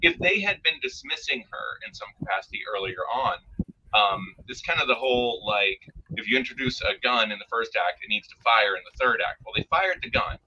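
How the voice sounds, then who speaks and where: A man's voice: lowish voice, a little fast, bright, forceful tone. A man chatting casually in a review, explaining his points and acting out mock quotes, over an online call. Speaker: guest reviewer. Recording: noisy, muffled, dull microphone sound.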